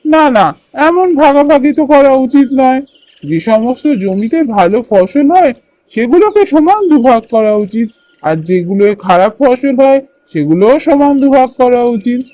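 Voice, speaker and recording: Man doing a very high, earnest simpleton bird. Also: lively intonation, moderate pace, mellow, dry recording, muffled recording. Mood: protesting. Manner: earnest, plaintive. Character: simple, honest, worried elder brother bird. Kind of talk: children's cartoon voice acting.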